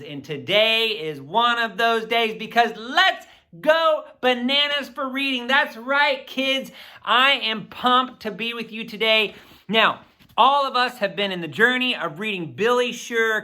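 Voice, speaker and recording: Middle aged guy doing a kids read-aloud, super upbeat principal type, bright punchy voice, really bouncy intonation. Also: high voice. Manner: upbeat, emphatic, encouraging. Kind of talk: enthusiastic address and children's book read-aloud.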